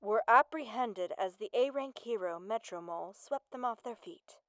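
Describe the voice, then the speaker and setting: A woman's voice: on the high side, animated, moderate pace, no echo, close to an everyday voice. An actress playing a composed, serious female TV news anchor, reading a formal bulletin in an audio drama. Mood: composed, urgent.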